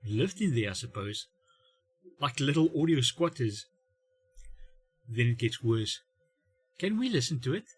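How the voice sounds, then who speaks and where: A middle-aged man telling a humorous story in a wry, self-mocking way, with dramatic pauses and bits of acted-out dialogue. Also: medium pitch, husky, no room sound. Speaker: host of a family humour channel. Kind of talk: comedic storytelling monologue.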